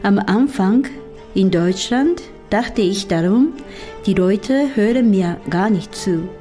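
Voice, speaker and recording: Slow, mellow woman. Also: medium pitch, animated, faint music behind. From Japan. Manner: slow and careful. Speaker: guest explaining customs. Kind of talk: calm explanation for children.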